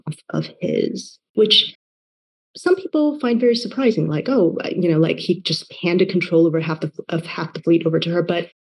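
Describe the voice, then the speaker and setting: A chatty young woman, a novelist from Taiwan, answers interview questions. Her voice is soft and breathy, and she keeps circling back with "like" and "you know" and restarting her sentences. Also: fairly low pitch, moderate pace, low-quality recording. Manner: chatty, many fillers and restarts. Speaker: novelist guest.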